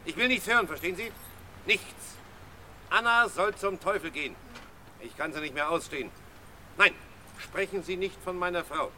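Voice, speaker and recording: A bitter, scornful surgeon in an old radio thriller. His voice is high and extremely sharp, bursting out in agitated contempt. Faint vehicle noise sits behind him. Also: exaggerated voice. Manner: scornful, agitated, clipped outbursts. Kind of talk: 1970s German radio crime drama acting.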